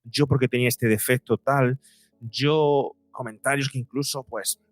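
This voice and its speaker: A man's voice: medium pitch, steady tone, unhurried, no room sound, faint music behind. Spanish man explaining, in a dry close recording. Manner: explains with examples and repeats words for emphasis. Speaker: psychologist and podcaster, interview guest. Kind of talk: explanatory conversational interview talk.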